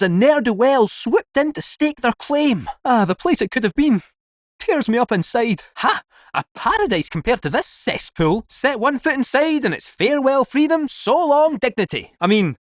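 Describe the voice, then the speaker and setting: A man's voice: high voice, dry recording, studio quality. A man voicing a cheeky, talkative male fortune-telling robot cat in a video game, with a Scottish accent and cheery sing-song salesman patter. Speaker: game character. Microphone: close studio mic.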